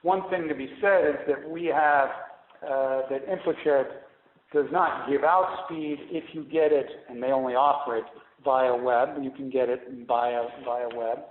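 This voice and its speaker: A man speaking as a company executive on a conference call, explaining things off the cuff in a halting way with repeated and restarted phrases, over a phone line. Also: medium pitch, fairly even intonation, slight background noise. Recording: narrow tinny phone line, roomy echo.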